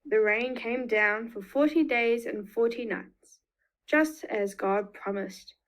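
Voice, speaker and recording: A woman's voice: fairly high pitch, takes it slowly, poor audio. A woman with an Australian accent reading a picture book to young children, expressively, with emphatic repetition. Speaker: children's storyteller.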